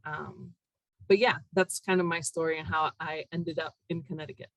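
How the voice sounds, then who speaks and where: A woman from Puerto Rico whose first language is Spanish, telling her story as a guest on a video-call interview with a relaxed, reflective manner. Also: deep voice, moderate pace, no echo, low-quality recording. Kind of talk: conversational interview.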